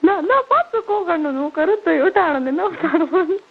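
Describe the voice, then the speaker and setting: A woman's voice: very high-pitched, animated, moderate pace. A woman with a Marwari Rajasthani accent chatting casually and intimately over the phone. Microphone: phone line. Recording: tinny, narrow-band phone call recording.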